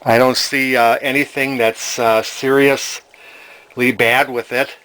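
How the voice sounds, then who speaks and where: A man's voice: medium pitch, flat delivery, measured pace, fairly bright tone, clean tone, low-quality recording. A man giving casual hands-on repair commentary as a hobbyist, hesitantly thinking aloud as he goes. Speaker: hobbyist radio restorer presenting a repair video.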